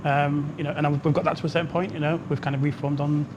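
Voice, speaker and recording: A man answering casually as an interview guest, halting and often repeating himself. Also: fairly high pitch, little pitch movement, highs cut off, noisy background. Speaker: developer guest.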